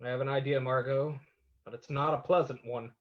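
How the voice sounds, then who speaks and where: A man playing a resolute, cryptic crime-fighting sleuth in an old-time radio drama, measured and decisive, recorded a little dull. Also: mid-range voice, fairly even intonation, natural voice. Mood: grave. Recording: slightly dull, lo-fi sound.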